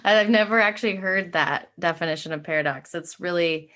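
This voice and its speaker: A woman with a fairly low voice talks earnestly about spiritual awakening. She speaks in long flowing runs and often fills with "like". It is a casual, heartfelt conversation. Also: fairly quick, fairly bright tone. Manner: earnest, flowing, many "like" fillers. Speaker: podcast guest, spiritual teacher.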